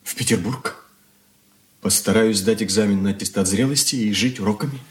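An actor playing a troubled, ashamed young son in an earnest radio drama confession. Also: male voice, lowish voice, fairly quick, close to an everyday voice. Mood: ashamed, pleading. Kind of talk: Soviet radio play acting.

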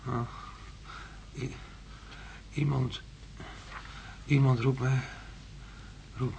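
An actor playing a tormented space commander under hypnosis, husky and halting, repeating his words in a radio drama. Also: mid-range voice, flat delivery, a little mellow, slight background noise. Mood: dazed.

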